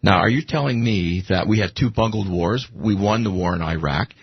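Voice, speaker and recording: A very raspy American man with a low, gravelly creak, co-hosting political talk radio. He questions his guest in a pointed, challenging way. The sound is dry and a little dull. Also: normal speed, no echo. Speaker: radio show co-host. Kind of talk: argumentative talk radio interview.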